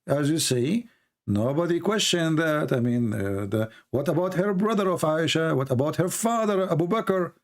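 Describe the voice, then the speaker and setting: A man hosts a heated debate, his voice a little higher than most men's. He keeps interrupting with "listen, listen" and stacks up rhetorical questions, pushing hard on every point. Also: lively intonation, normal speed. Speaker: debate host.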